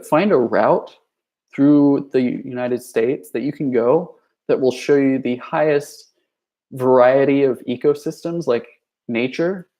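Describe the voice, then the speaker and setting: Young male streamer, gravelly voice, loose rambling delivery full of fillers. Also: mid-range voice, a little mellow. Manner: rambling, many fillers and restarts; reads viewer chat messages aloud. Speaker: youtuber. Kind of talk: casual livestream chat.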